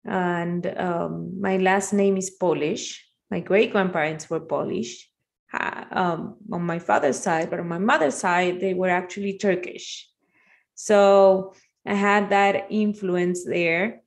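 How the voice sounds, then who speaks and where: A middle-aged woman speaks as a podcast guest. Her mid-pitched voice has a noticeable creak, and she talks conversationally, as if telling her own story. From Argentina. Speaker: podcast guest, marketing agency owner.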